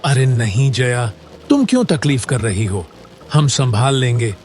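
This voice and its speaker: A man playing a gentle, hopeful poor woodcutter husband in an earnest, dramatic Hindi cartoon moral story. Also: mid-range voice, lively intonation, measured pace, slight background noise, natural voice. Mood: politely reluctant. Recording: loud background music.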